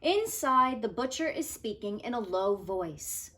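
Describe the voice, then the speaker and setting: Slow, lively woman reading aloud. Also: a little high. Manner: deliberate, expressive storytelling delivery. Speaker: teacher reading aloud to students. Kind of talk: expressive read-aloud of a children's picture book.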